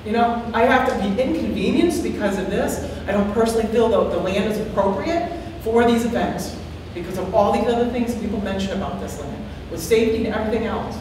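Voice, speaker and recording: Woman, loud voice, earnest and worried, distant mic, long hall reverb. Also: mid-range voice, animated, a little fast, few pauses. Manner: earnest, worried, repeats herself. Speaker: resident giving public comment. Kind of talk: public testimony at a board hearing. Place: large town meeting hall, far from the mic. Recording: echoey hall, distant mic, faint hiss.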